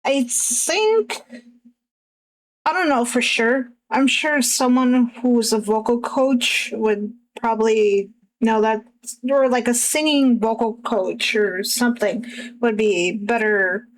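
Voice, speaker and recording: A young woman with a husky voice, chatting casually with her stream audience while she draws, halting often with fillers and restarts. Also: on the high side, poor audio.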